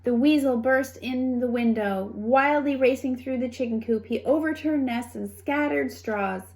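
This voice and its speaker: A woman reading a children's story aloud with expressive narration and dramatic, deliberate phrasing. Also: sits high. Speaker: storybook reader.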